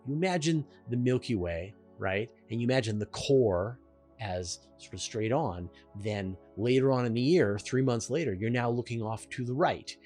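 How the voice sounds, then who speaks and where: A man's voice: mid-range voice, lively intonation, moderate pace, darkish tone, faint music behind. A man hosting a question-and-answer show, explaining things in an emphatic, informal, conversational way. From Canada.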